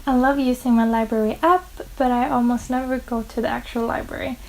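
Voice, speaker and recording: A woman's voice: fairly high pitch, steady tone, a little fast, slight background noise, poor audio. A Norwegian woman of twenty-one, whose first language is Norwegian, chatting earnestly to camera in English about her reading habits. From Norway. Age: 20s. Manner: chatty, earnest, lists personal facts. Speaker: book vlogger. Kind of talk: casual chat to camera.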